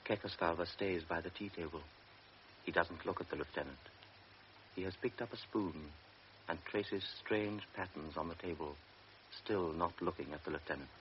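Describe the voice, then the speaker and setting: A man narrating a radio drama in a measured, quiet way. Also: low voice, a little hiss or hum. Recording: dull old radio recording with music.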